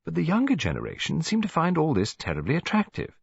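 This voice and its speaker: A man's voice: on the high side, huge pitch swings, quick pace, creaky, husky, no echo, muffled recording, voice pushed up, full character voice. A man voicing a genteel, practical English lady near seventy, who chatters politely in an audiobook story. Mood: chatty, confiding. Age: older. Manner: chatty, rambling, polite tag questions.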